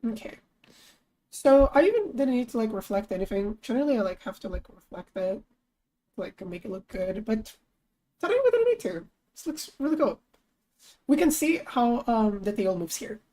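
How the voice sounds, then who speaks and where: A young woman talks her way through her work on a live stream, casual and chatty, thinking aloud. Her voice sits fairly high but sounds mellow and a little lower than usual today. Now and then she bursts into high, excited greetings. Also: normal speed, low-quality recording. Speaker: streamer and artist. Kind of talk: casual live-stream chat while working.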